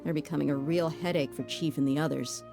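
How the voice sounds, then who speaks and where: An actress playing a calm, matter-of-fact informant giving news in a game cutscene. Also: female voice, deep voice, fast talker, creaky, music in the background. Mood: informative.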